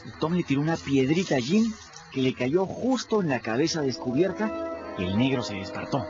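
A man plays a scrappy boy telling his own adventures in a radio drama. He is confiding and chatty, with lively swings in pitch. The sound is a bit muffled, over music. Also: moderate pace, lightly acted. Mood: candid storytelling. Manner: conversational, confiding, addresses listeners directly. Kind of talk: first-person radio drama narration.

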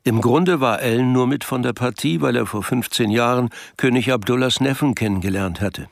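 A man's voice: mid-range voice, normal speed, vocal fry, no echo, clean studio sound. A man reading scripted literary narration for a radio play.

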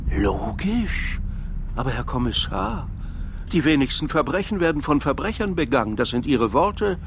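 An actor playing a reflective, philosophical man in a radio crime drama, arguing in a pensive, articulate and measured way. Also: medium pitch, very animated, background noise. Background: faint background music.

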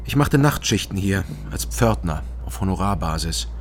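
An actor playing a taciturn, wry East German night porter, narrating and speaking tersely in a radio crime drama. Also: male voice, low voice, lively intonation, moderate pace, noisy background. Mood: dry, reflective. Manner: laconic, terse, understated. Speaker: first-person narrator. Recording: music behind the voice.

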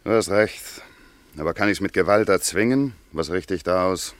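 An actor in a 1950s German radio drama playing a troubled, stubborn country doctor and husband who sounds gruff and evasive. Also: male voice, deep voice, normal speed, dry recording, natural voice. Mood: resigned, defensive.

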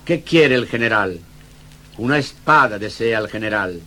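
A man's voice: on the low side, animated, moderate pace, sharp tone. A man reciting verse in a rhythmic, repetitive way. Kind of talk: reciting verse over music.

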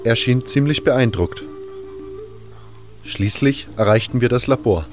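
A man's voice: on the low side, darkish tone. A man narrating a scripted first-person adventure story for a fan audio drama. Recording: music under the voice. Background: music.

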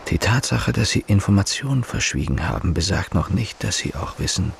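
A man's voice: low voice, animated, a little fast, creaky, slight background noise. An actor playing a brooding male detective, musing introspectively as he narrates his thoughts in a radio drama.

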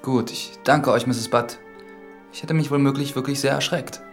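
An actor playing a reserved, educated priest in a radio drama, speaking in a measured, formal way. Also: male voice, mid-range voice, fairly even intonation, faint music behind, close to an everyday voice. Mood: surprised, polite. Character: reserved, educated priest visiting a village from town.

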